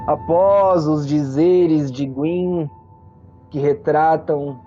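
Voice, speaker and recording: A man narrating a tabletop game as game master, theatrical and full of deliberate pauses. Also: on the high side, dark tone, highs cut off.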